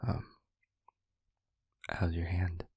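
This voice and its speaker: A man playing a tender, devoted demon lover in an intimate audio roleplay, speaking gently and hesitantly in a husky near-whisper. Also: deep voice, monotone, dry recording, heavily acted. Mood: tender, concerned. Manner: gentle, hesitant, slow and deliberate.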